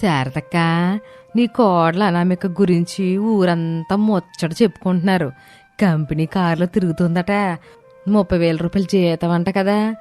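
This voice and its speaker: Woman as gossipy village auntie type, chatty, lowish voice, music behind. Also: normal speed, darkish tone, close to an everyday voice. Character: gossipy female village relative, admiring and nosy. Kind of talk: animated moral story dialogue.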